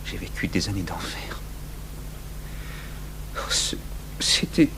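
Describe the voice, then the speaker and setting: An actor in a French radio drama playing a tormented, remorseful man who confesses his murderous compulsion in an anguished, halting way. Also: low-pitched, big pitch swings, in a whisper, full character voice. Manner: anguished, halting, swinging from outbursts to hushed murmurs. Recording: noisy, humming 1980s radio broadcast tape.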